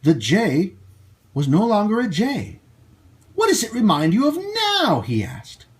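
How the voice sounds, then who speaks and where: A man hosting storytime for children, reading and talking to them in an expressive, emphatic way. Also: sits high, breathy edge. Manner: animated, slow, emphatic delivery for young children. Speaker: storytime host and children's teacher.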